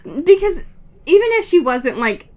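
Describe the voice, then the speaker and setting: Animated, higher-pitched woman chatting. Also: measured pace. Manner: gushing, sweary, often laughing. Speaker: podcast co-host. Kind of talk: casual book recap chat.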